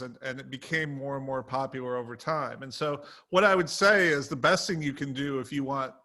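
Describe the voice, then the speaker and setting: A man's voice: mid-range voice, bright, forceful tone. A man talking in an earnest, explanatory way during a casual panel discussion.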